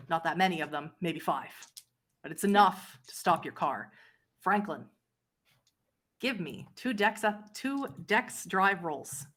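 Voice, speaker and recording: A woman runs a tabletop roleplay game as its storyteller. Her voice sits at a middle pitch, and she narrates dramatically, speaking straight to the players in second person. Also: a bit bright, slight background noise.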